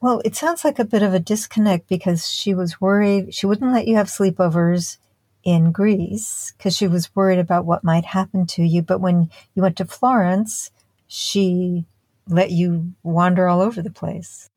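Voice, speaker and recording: A woman conducting an interview, putting measured, probing questions to her guest. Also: on the low side, fairly even intonation, a little mellow, husky, dry recording.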